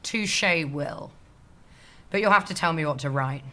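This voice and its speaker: A woman's voice: low voice, lively intonation, unhurried, creaky, fairly bright tone, full character voice. An actress playing a sardonic, surly female office slacker, dry and sarcastic, in a comedy audio drama. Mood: sly, reluctant.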